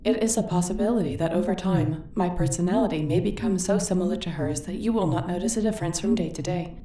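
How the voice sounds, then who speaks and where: Echoing, soft-toned female ship AI, played by a woman. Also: fairly low pitch, fast, close to an everyday voice. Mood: earnest, literal. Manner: formal, precise wording without contractions. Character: female ship AI, literal, formal, dryly loyal. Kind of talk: scripted sci-fi audio roleplay. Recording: roomy, distant, faint background noise.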